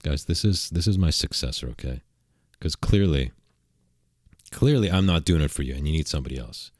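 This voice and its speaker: A man with a very deep voice chats on his livestream from a computer desk. He rambles along and throws out crude, provocative jokes at his viewers. His voice sounds close and dry, with no room echo. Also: expressive, brisk, darkish tone. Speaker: streamer and channel host. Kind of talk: casual livestream chat. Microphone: desk mic at a computer.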